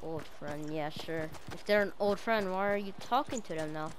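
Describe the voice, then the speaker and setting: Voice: medium pitch, moderate pace, background noise. A female player improvising a roleplay over game voice chat, confrontational and commanding in manner.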